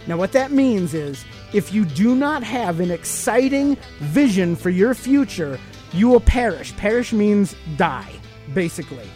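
A man's voice: high voice, very animated, unhurried, darkish tone, soft background music. Podcast host: American male, middle-aged, emphatic and sermonizing, speaks straight to listeners with rhetorical questions. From United States. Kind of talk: motivational talk to listeners.

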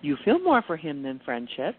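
A woman playing a calm, cool, sanctimonious friend who pries into feelings in a radio play. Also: sits low, moderate pace, husky, exaggerated voice. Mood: earnest, soothing. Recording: thin phone-like audio.

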